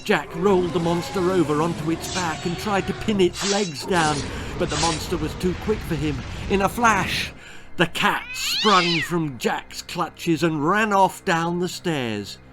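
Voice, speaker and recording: Male storyteller, theatrical, suspenseful, playful emphasis, unhurried delivery, clear music bed. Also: fairly high pitch. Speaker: author reading own story. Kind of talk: theatrical children's horror-comedy storytelling. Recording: music behind the voice.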